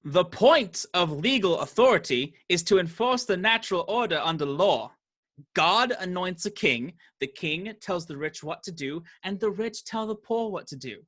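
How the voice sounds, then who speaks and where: An actor playing a pompous, menacing, domineering high sheriff in a radio play. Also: male voice, expressive, moderate pace, a bit bright. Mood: imperious. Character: haughty, menacing High Sheriff of Nottinghamshire, male.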